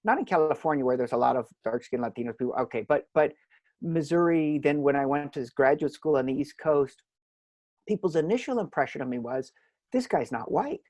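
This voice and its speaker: A man's voice: fairly high pitch, expressive, brisk, darkish tone, dry recording. A middle-aged man from Hawaii, a law professor answering interview questions thoughtfully, with frequent restarts and rhetorical asides.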